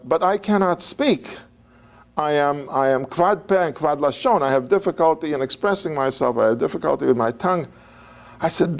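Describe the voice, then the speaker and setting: A man's voice: on the high side, darkish tone. An older man giving an informal, digressive lecture on biblical history, talking conversationally with fillers and restarts.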